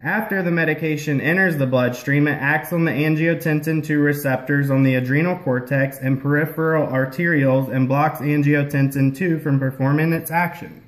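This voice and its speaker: A man's voice: a little high, steady tone, moderate pace, hardly any pauses, close to an everyday voice. Man playing instructive puppet clinician, echoey room. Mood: explanatory. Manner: explanatory, instructional. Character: patient, instructive male health-care puppet explaining medication. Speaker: health educator explaining a prescription. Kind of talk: scripted educational puppet-show dialogue. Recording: roomy echo.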